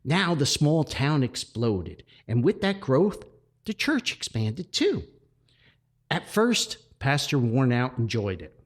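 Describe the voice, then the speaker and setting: A man's voice: medium pitch, very animated, slow pace. A man hosting a podcast, talking and reading a story aloud in a folksy storytelling way. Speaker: podcast host, author.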